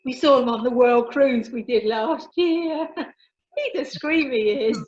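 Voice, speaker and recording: A woman's voice: on the high side, measured pace, darkish tone, slight background noise, lightly acted. A woman playing a brash, cheeky working-class mother, chatty and gossipy, in an amateur radio comedy. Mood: gleeful, boastful. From Basildon, Essex, England (character). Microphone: video call. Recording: dull, lo-fi video call.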